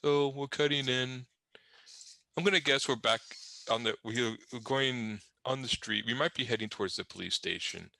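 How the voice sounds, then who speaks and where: A man's voice: mid-range voice, moderate pace, gravelly, bright, forceful tone, no room sound. A man narrating comic panels off the cuff, with wry, rambling, conversational asides. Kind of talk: improvised humorous scene description.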